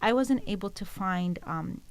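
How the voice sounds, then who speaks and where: An enthusiastic woman hosting an interview, originally from Iraq. She speaks at a middle pitch for a woman and often uses fillers and restarts. She praises her guest freely. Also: lively intonation, a little slow, clear, resonant tone. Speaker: interview host. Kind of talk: casual interview conversation.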